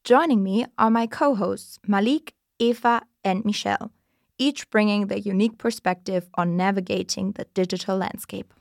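A woman's voice: medium pitch, fairly even intonation, moderate pace, creaky, no echo, studio quality. A nineteen-year-old woman hosting a podcast, reading a scripted intro in a polished, upbeat way and moderating. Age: teens. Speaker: podcast host.

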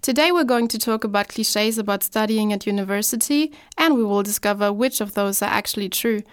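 Young female talk-show host, clear smooth tone, bright scripted delivery. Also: mid-range voice, brisk.

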